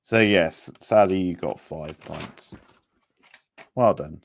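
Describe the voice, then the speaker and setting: A man casually hosting a live quiz, reading out questions, options and scores in a halting way. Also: low voice, vocal fry, a little hiss or hum. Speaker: quiz host.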